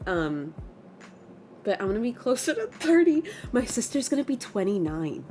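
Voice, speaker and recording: A woman's voice: medium pitch, normal speed, slight background noise. A woman in her mid-twenties chatting casually on a gaming livestream, reading chat and reacting aloud. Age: 20s. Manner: chatty, animated, reacts with gasps and laughs.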